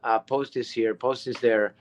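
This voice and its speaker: A man's voice: mid-range voice, steady tone, unhurried. Rambling man in his thirties. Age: 30s. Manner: rambling, repeats words and restarts often. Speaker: online business member. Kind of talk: casual testimonial chat.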